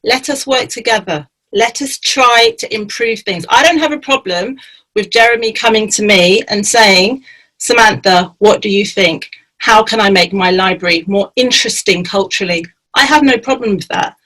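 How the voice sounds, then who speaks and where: A woman's voice: mid-range voice, normal speed, rough recording. A woman who grew up in Barbados, speaking with passion as a panelist on a webinar call. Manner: impassioned, grows louder and urgent.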